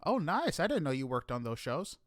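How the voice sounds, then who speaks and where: An enthusiastic male podcast host with a fairly high voice that swings widely in pitch. He introduces and questions in an effusive, upbeat manner. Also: very animated, a little fast, dry recording, clean studio sound.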